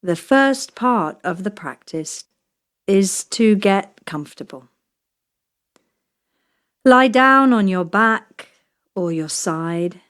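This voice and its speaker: A woman yoga nidra teacher leading a guided relaxation meditation in a soothing, measured way, leaving long pauses between short phrases. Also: medium pitch, expressive, a bit bright.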